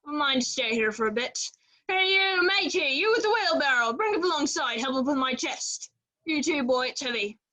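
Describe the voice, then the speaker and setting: A woman playing a gruff, suspicious old seaman captain in a radio play, with salty sailor talk and a bossy manner. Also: high-pitched, expressive, moderate pace, pushed and bright, rough recording. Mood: gruff, sizing up, then commanding.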